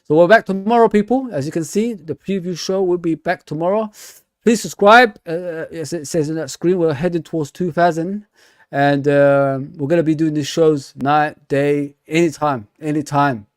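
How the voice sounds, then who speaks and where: A man's voice: fairly high pitch, moderate pace, dry recording, clean studio sound. A man hosting a casual fan livestream, laughing often and reading viewer comments aloud.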